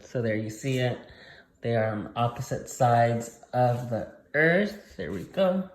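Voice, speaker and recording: A man's voice: medium pitch, takes it slowly, gravelly, reverberant. A man reading a story aloud to young children as their teacher, explaining things simply and repeating key points.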